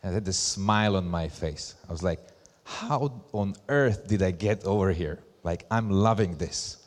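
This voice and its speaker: Slovak guy presenting at a conference, lowish voice, big echoey hall, talks in short deliberate chunks. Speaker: presenter, brand ambassador. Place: large hall at a live event. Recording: echoey hall with long reverb.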